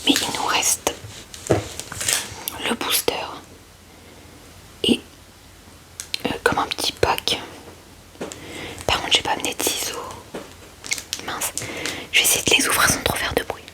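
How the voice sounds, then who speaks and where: A woman whispers breathily and gently into a close microphone, murmuring short informal remarks as ASMR commentary. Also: takes it slowly, long pauses, poor audio. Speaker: ASMR video creator.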